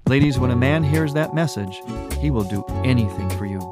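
A man who is a priest, reading a scripted radio spot in an earnest, deliberate way. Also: medium pitch, lively intonation. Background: soft guitar.

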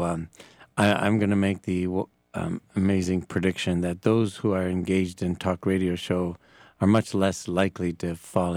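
A man hosts a casual radio interview with a fairly low voice. He speaks haltingly, often stammering as he feels for the next phrase. The sound is close and dry. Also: a little mellow, no echo. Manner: halting, hesitant, stammering delivery. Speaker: talk radio host. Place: radio broadcast from a church.

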